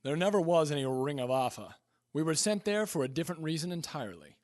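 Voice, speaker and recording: An actor playing a cocky, reckless adventurer in a radio comedy, smug and full of quips. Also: male voice, a little high, animated, fast talker, darkish tone, natural voice. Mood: smug, explaining. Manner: smug, quipping, swaggering. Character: cocky, reckless male adventurer hero, self-satisfied.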